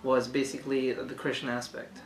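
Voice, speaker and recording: Soft-spoken young man in an echoey room. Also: mid-range voice, level tone, brisk. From Chile. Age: young adult. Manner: soft-spoken, hesitant, many fillers. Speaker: interview guest. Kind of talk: conversational testimony. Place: room with bookshelves, indoors. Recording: echoey room, faint hiss.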